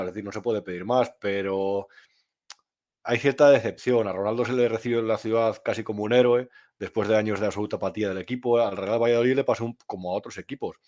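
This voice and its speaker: A man from Spain, answering interview questions in a frank, opinionated way. His voice sits on the low side, and he often pauses and starts his sentences over as he thinks. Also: darkish tone. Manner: frank and opinionated, with frequent hesitations and restarts. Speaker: journalist and novelist guest.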